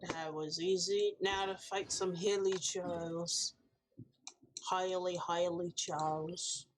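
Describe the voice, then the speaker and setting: Slow-talking woman streamer rambling over game music. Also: on the low side, fairly even intonation, slight background noise. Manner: rambling running commentary, jokey asides to chat. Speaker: streamer playing a video game. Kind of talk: casual live-stream chatter. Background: faint game music.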